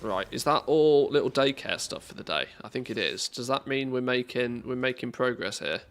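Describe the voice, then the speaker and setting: A man from England with an English accent talks off the cuff as a livestreamer. His voice sits at an average pitch for a man. His delivery is informal and joking, often at his own expense. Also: expressive, moderate pace, fairly bright tone. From England, born in the northwest, lived mostly in the Midlands. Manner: jokey, self-deprecating. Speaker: game livestreamer. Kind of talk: casual livestream chat.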